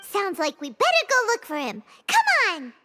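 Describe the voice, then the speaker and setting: A woman voicing a tiny, excitable female fairy companion in a video game, eager and bouncy. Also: very high-pitched, normal speed, background noise, full character voice.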